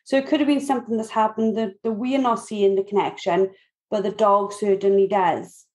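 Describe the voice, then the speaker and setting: A Welsh woman hosting a podcast, asking relaxed, chatty questions with a few fillers. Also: medium pitch, fairly quick. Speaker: podcast host. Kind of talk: casual podcast interview.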